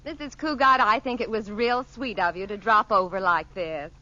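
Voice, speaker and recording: A woman's voice: mid-range voice, normal speed, loud music behind the voice, natural voice. An actress playing a sweet, chatty young mother and new neighbor, effusively polite, in a 1940s radio sitcom. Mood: friendly. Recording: muffled, noisy radio broadcast with audience.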